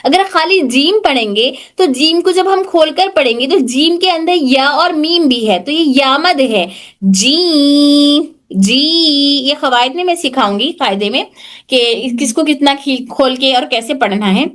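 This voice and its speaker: Woman, Tajweed teacher, high voice, patient, repetitive. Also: measured pace, fairly bright tone. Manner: patient, repeats points and checks if students follow. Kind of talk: online class lesson.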